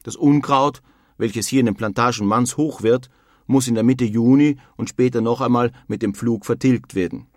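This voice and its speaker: A man's voice: mid-range voice, moderate pace, a little mellow, no room sound, clean studio sound, close to an everyday voice. An actor playing a disillusioned 19th-century emigrant man, reading his letters home in formal, old-fashioned wording. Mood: bitter, resigned. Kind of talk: dramatic reading of historical letters.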